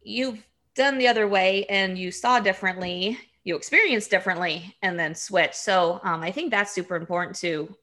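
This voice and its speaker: A woman hosting a podcast with a bright, crisp edge to her voice. She asks questions in a chatty, casual way, filling her sentences with "like.". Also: medium pitch, moderate pace. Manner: chatty, heavy use of "like". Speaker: podcast host. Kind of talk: casual interview chat.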